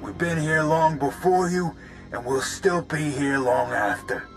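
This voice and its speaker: A man's voice: on the high side, unhurried, gravelly, rough recording. A man voicing an arrogant, threatening pirate captain in a video game, defiant in manner. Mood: menacing. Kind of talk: video game villain acting. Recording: loud music under the voice. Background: cinematic game music.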